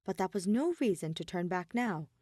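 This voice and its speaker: A woman's voice: mid-range voice, lively intonation, moderate pace, mellow, dry recording. Dry-recorded woman narrating dramatic fiction.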